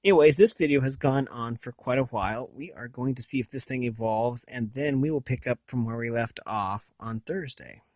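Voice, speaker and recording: An American man hosting a casual, unscripted review, thinking aloud in a halting, rambling way with a slightly raspy voice. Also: medium pitch, darkish tone, no room sound. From United States. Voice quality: slightly raspy from long talking. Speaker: video host.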